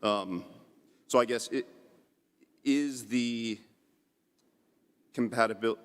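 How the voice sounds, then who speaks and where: A man on a public commission questioning staff politely, in a tentative, halting way. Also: medium pitch, smooth. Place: public commission meeting room. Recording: echoey hall with long reverb.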